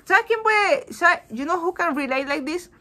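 Animated Puerto Rican woman teaching kids. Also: very high voice, a little slow. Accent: Puerto Rican Spanish. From Puerto Rico. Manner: animated, acts out voices of people, asks "¿ok?" often; mixes English into Spanish. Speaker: children's Bible teacher. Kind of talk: lively Bible lesson for children. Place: small indoor room. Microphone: webcam on a video call.

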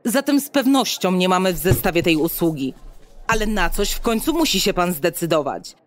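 An actress playing a brisk, officious receptionist at a futuristic state clinic, speaking in formal, bureaucratic sales patter in an audio drama. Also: female voice, on the low side, lively intonation, slight background noise, close to an everyday voice. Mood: puzzled, amused. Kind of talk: Polish audio drama acting.

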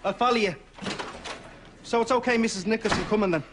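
An actor with an Irish accent playing a matter-of-fact, mildly exasperated adult son in a sitcom. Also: male voice, high-pitched, a little fast, background noise, natural voice. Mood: brisk. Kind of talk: studio sitcom acting. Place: indoor sitcom kitchen set.

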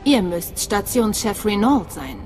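Terse imperial agent in a game, all business, reverby, quiet music behind. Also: female voice, medium pitch, very animated, a little slow. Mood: cool, focused. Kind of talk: video game voice acting.

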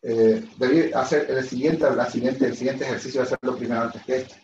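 A man's voice: medium pitch, steady tone, brisk, a little mellow, slight background noise. A middle-aged man teaching an online class at a whiteboard, chatty and self-deprecating, often asking his students questions through a headset mic. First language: Spanish. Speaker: university lecturer. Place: room at a whiteboard, indoors. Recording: roomy, slightly dull video-call audio.

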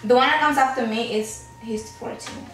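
Young Angolan woman, Portuguese first language, hosting a teasing quiz. Fairly high voice, heard from a distance in an echoey room. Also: moderate pace, fairly bright tone, faint music behind. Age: young adult. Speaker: host quizzing her partner. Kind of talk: casual quiz chat. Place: small indoor room with gray walls, seated.

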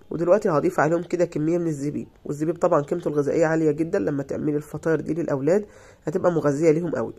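Hoarse Egyptian woman, rapid cooking voice-over. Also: sits low, darkish tone. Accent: Egyptian Arabic. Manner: chatty, reassuring, repeats herself. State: hoarse from illness. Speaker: cooking channel host.

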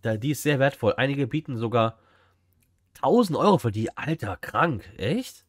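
A man's voice: medium pitch, expressive, normal speed, a little hiss or hum. A man, chatting casually and musing aloud as he reacts on a livestream. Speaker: streamer reacting to a video. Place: indoor streaming room.

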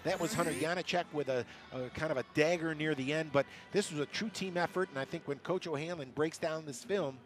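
A man as color commentator, talking through highlights in a conversational way and correcting himself mid-call. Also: a little fast, hardly any pauses, faint music behind.

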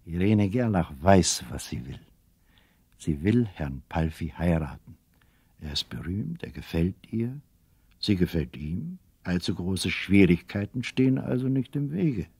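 A storyteller narrates a children's audio drama in a low, husky voice. The sound is close and dry, as on a studio production. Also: male voice, big pitch swings, measured pace, a little mellow, no echo, full character voice. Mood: measured narration. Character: storyteller of a children's radio play.

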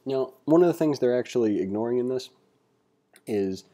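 A man giving a read-along tutorial as an instructor, reading aloud and adding informal asides as he thinks out loud. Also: mid-range voice, expressive, moderate pace. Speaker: programming instructor. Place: indoors, screen-recording voice-over.

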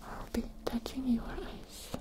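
Young woman whispering slowly. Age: young adult. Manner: soft, unhurried, gently instructing. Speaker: ASMR host playing an eye examiner. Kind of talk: whispered ASMR medical roleplay. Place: small dim indoor room with curtain. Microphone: close ASMR microphone.

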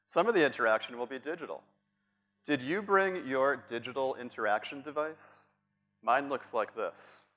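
A man's voice: medium pitch, lively intonation, moderate pace, a bit bright. Playful middle-aged Boston man on stage. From Boston, United States. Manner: playful, chatty, asks the audience questions. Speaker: conference speaker. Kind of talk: interactive workshop talk. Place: large conference room on stage.

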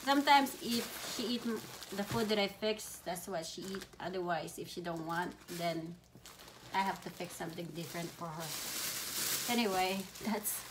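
Slow-talking woman showing groceries at home. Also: medium pitch. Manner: relaxed, unhurried, plain-spoken with pauses. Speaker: vlogger. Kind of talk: casual grocery haul chat. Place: home dining room, indoors. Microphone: camera on table in front of her. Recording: plastic bag crinkling noise. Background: crinkling grocery bags.

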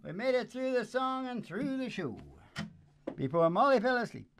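A man's voice: very high-pitched, sing-song, moderate pace, fairly bright tone, a little hiss or hum. A man hosting a live country music show, chatting casually and playfully between songs. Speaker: singer and show host. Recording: live music performance with guitar. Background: acoustic guitar.

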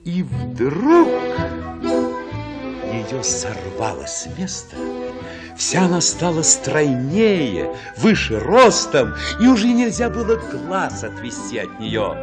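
A man playing the narrator of a radio drama, recalling childhood in an expressive, absorbed, poetic manner. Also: on the high side, slow pace, exaggerated voice. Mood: swelling admiration. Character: male storyteller recalling his childhood with wonder. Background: music.

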